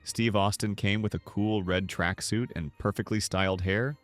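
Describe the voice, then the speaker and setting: A man's voice: on the low side, fairly even intonation, moderate pace, dry recording, faint music behind. A man reading scripted nostalgic documentary narration.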